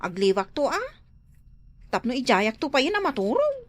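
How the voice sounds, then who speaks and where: A woman's voice: high-pitched, wildly swooping pitch, moderate pace, dry recording, full character voice. A woman with an Ilocano accent playing an outspoken, nagging grown daughter in a comedy drama. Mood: disapproving.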